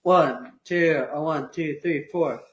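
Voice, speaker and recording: Young man, podcast co-host, dry joker, slightly high voice, echoey room. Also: takes it slowly, a little hiss or hum. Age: young adult. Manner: playful, wisecracking, deadpan asides. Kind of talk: casual joking chat.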